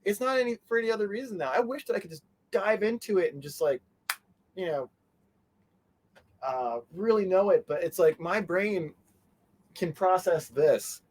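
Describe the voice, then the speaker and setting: Man, interview guest, fairly high voice, informal, halting, conversational. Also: lively intonation, a little mellow, slight background noise. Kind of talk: casual conversational interview chat.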